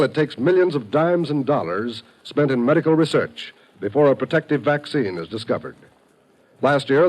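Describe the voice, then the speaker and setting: A man's voice: mid-range voice, darkish tone, low-quality recording. A man with an American accent announcing in scripted 1950s radio style, earnest and declamatory.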